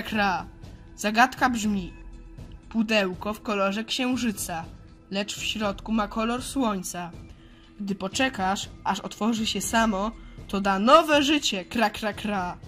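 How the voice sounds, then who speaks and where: Woman doing a squawky crow monster guy, slow and threatening, caws constantly, kids radio play, highish pitch. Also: fairly bright tone. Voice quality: squawking, cawing. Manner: punctuates speech with crow caws. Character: menacing male half-man, half-crow monster that caws constantly. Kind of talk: children's audio play acting.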